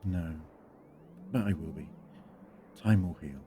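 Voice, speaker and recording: A man's voice: sits low, slow pace, mellow, slight background noise. A man playing a weary, sombre time traveller in an audio drama, speaking in a grave, reflective way. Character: weary, sombre time-travelling male hero, burdened by guilt.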